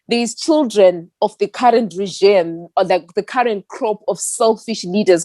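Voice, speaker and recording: A young woman from Zimbabwe speaks in an interview in an earnest, steady, reflective way. Her voice sits at a middle pitch. The recording is dry and close. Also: no echo. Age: young adult. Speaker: activist guest. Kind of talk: interview answer.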